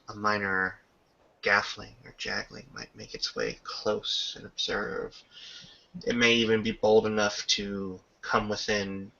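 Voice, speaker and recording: Slow, gravelly low-voiced man narrating. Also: pushed and bright, highs cut off. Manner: ornate, descriptive storytelling. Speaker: game master. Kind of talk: narrating a tabletop roleplaying game.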